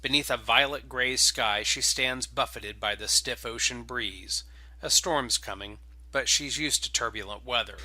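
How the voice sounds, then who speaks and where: A man's voice: medium pitch, unhurried, pushed and bright, no echo, rough recording. A man with an informal, enthusiastic manner, hosting and reading stories aloud. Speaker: game writer and host introducing and reading stories. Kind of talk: casual podcast intro, then dramatic scripted narration.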